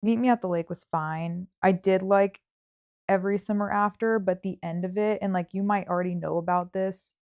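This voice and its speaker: A woman's voice: mid-range voice, fairly even intonation, normal speed, a little mellow. A woman podcast host in a casual book chat, talking informally with hedges and lots of "like" sprinkled through. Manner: informal, hedging, frequent "like".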